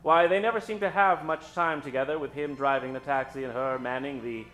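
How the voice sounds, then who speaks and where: A man's voice: mid-range voice, a bit bright, poor audio. Theatrical male radio host over music. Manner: dramatic, drawn-out storytelling delivery. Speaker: host and announcer. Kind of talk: theatrical old-time radio show introduction before a live audience. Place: stage before a live studio audience. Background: musical accompaniment.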